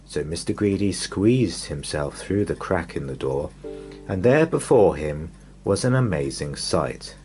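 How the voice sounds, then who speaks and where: A man reading a children's bedtime story in an expressive, dramatic way, lingering on repeated words. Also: deep voice, measured pace, soft background music.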